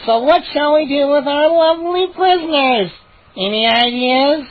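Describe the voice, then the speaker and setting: A woman's voice: high-pitched, a little slow, narrow, tinny audio, pitched above the performer's own voice. A woman voicing a sneering, mischievous male gnome who gloats over his prisoners. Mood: gloating.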